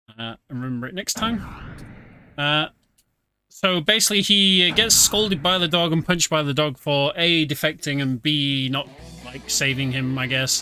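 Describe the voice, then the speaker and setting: Bright-voiced man commentating a game. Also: mid-range voice. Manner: explains as he plays, informal. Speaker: streamer, speedrunner. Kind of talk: casual live game commentary. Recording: game music behind the voice. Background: video game music and vehicle sounds.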